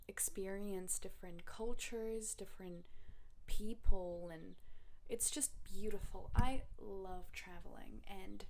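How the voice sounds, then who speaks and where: Young woman vlogger, unhurried, reflective and rambling, faint background noise. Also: medium pitch, darkish tone. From Russia. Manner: reflective, rambling with many fillers. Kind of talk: casual personal talk to camera.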